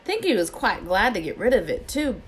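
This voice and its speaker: A woman voicing a big, bearded, friendly gamekeeper man, proud and easily flattered, with rustic, blustering speech in a storybook reading. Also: mid-range voice, moderate pace, slight background noise, pitched above the performer's own voice, close to an everyday voice. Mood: proud, eager.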